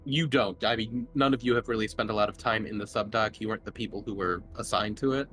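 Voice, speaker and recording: Man game master, halting and casual. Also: fairly low pitch, a bit bright. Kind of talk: casual improvised tabletop game narration.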